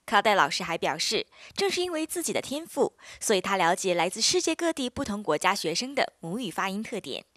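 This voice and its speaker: A woman narrates a scripted voice-over with a lively, rising and falling delivery. The recording is dry and close, with no room sound at all. She sounds smooth and expressive. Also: mid-range voice, fast, hardly any pauses, no echo.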